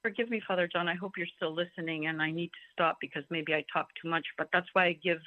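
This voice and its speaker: A woman's voice: fairly low pitch, steady tone, fast, dry recording. Woman from greece talking on and on, emphatic, goes off on tangents, thin phone-call sound, pretty dry. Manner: talkative, digressive, emphatic. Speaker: symposium speaker. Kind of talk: informal personal testimony at a symposium.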